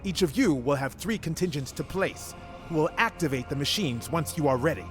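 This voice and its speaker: Man as a higher-voiced, firm fantasy commander. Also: expressive, a little fast, soft background music, close to an everyday voice. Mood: commanding. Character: firm male military commander giving battle orders. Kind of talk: dramatic video game acting.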